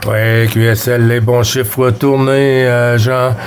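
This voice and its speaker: A man from Quebec with a Québécois French accent talks on the CB radio. His voice sits at a middle pitch. He is friendly and easygoing, and he reads out call signs and signal reports between bits of casual chat. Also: level tone, slow pace, slight background noise. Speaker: CB radio operator.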